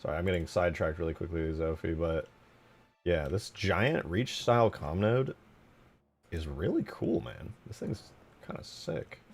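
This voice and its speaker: A man chatting casually on a livestream as he shows game mod work, informal and slangy, easily excited. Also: low-pitched, normal speed, breathy edge. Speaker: live streamer and game modder.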